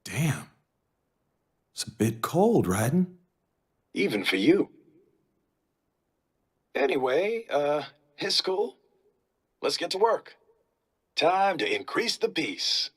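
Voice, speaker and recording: An actor playing a laid-back, wry military man in a video game, with a husky voice and casual delivery. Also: a little high, big pitch swings, slow, pauses often, a bit bright, exaggerated voice. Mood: easygoing. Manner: casual, wisecracking. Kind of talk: video game cutscene acting.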